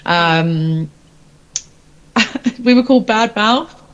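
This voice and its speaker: A woman's voice: medium pitch, lively intonation, extremely slow, dull audio. A woman chatting casually as an interview guest, with an informal, rambling, giggly manner. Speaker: rock band singer interviewed as guest. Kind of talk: casual chat.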